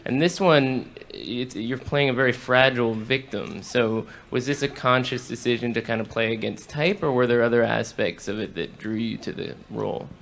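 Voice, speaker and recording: A man's voice: medium pitch, normal speed, gravelly, hardly any pauses, fairly bright tone, slight background noise. A man interviewing guests on a panel, asking long, winding questions.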